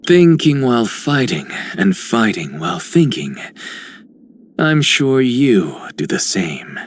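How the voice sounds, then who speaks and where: A man voicing a calm, serious, scholarly young guardian with a measured, reserved manner in a video game. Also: medium pitch, a little hiss or hum. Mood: composed, earnest.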